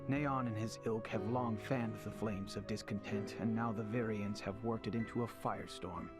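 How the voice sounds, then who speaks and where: A man plays a young fantasy prince, earnest and brooding. His low voice carries formal, courtly phrasing with a sense of duty, in the manner of a video game drama. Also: fairly even intonation, normal speed, over loud music, lightly acted. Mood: bitter, somber. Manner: formal, archaic courtly diction. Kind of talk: video game cutscene acting.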